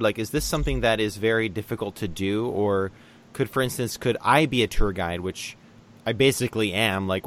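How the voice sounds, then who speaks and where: A man with a fairly low voice hosts a casual call-in talk show. He chats with enthusiasm and fills pauses with ums and likes. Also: slight background noise. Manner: chatty and enthusiastic, many fillers. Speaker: podcast host. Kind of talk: casual interview chat. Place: lives in Taiwan.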